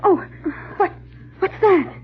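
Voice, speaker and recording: A woman's voice: slow pace, natural voice. An actress playing a loyal, anxious lady's maid in an old-time radio drama, in a melodramatic manner. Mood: panicked. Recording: muffled, thin old radio recording.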